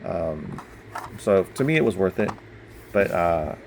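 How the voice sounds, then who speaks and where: Male, lower-pitched, unscripted reseller vlogger with a casual, rambling delivery. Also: sing-song, unhurried, vocal fry, background noise. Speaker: online reseller and bookstore owner. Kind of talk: casual chat.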